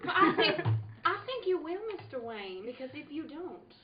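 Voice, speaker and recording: A woman's voice: sits high, big pitch swings, normal speed. A woman playing a suave, threatening male blackmailer in a comic live reading of an old radio play. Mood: suave menace. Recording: echoey room, heavy noise, dull sound.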